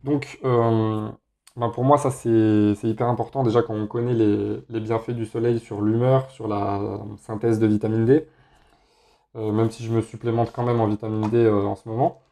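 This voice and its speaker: A young man from France hosting a casual solo podcast, talking in a relaxed way with lots of hesitations. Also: fairly low pitch, moderate pace. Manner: relaxed, many filler hesitations. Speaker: podcast host.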